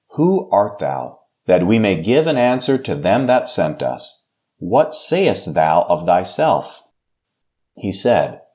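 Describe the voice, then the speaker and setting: Man, pastor, low voice, unhurried, measured King James reading, devotional. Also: darkish tone. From United States.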